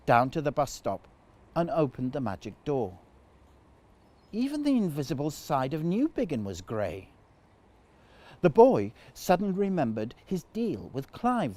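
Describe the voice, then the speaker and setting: A man reading a children's story aloud as a storyteller, with dramatic, deliberate phrasing. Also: a little high, very animated, darkish tone. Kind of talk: expressive children's story narration.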